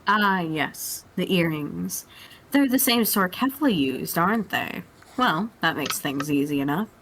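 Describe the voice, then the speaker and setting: A woman's voice: on the low side, animated, unhurried, a bit bright, slight background noise. A woman voicing a haughty, devious male alien tyrant with pompous, formal phrasing in an amateur dramatic reading. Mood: devious confidence.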